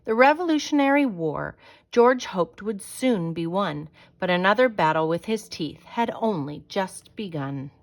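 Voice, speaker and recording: A woman reading a children's picture book aloud as a storyteller, with dramatic, deliberate delivery. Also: mid-range voice, lively intonation.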